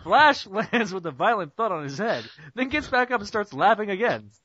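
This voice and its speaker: Casting: male amateur narrator, high lively voice, mock-dramatic comic reading, muffled internet call sound. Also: normal speed, a little hiss or hum. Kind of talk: comic dramatic script reading among friends. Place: Skype group call.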